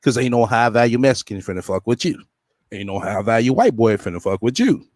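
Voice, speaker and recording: A man cuts into a livestream with blunt, dismissive remarks and swears freely. His voice is mid-pitched. The recording is dry and close. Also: animated, brisk, a little mellow, no room sound. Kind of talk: casual livestream chat.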